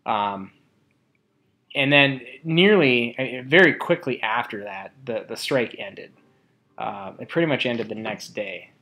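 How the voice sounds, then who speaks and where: A man presents informally in a colloquial way, with a halting, conversational delivery. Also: mid-range voice, pushed and bright.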